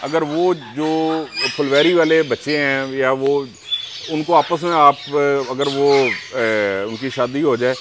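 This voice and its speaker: A man from Pakistan who breeds parrots, answering interview questions calmly and explaining at length with examples. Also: mid-range voice, slow, background noise.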